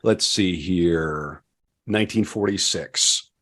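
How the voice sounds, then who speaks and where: A man with a low-pitched voice hosts a podcast. He phrases things deliberately and emphatically, moving between conversation and reading notes aloud. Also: fairly bright tone. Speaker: podcast host.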